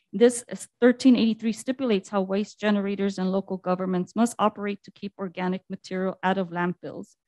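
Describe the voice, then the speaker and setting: A woman's voice: medium pitch, darkish tone, no echo. A woman on city staff presenting to a council meeting, reading slide text aloud with frequent fillers.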